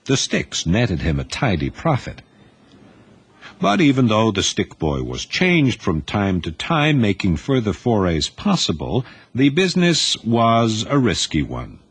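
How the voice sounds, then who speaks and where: Man, narrator, deep voice, animated storytelling reading. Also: measured pace, breathy edge. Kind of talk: scripted audiobook narration.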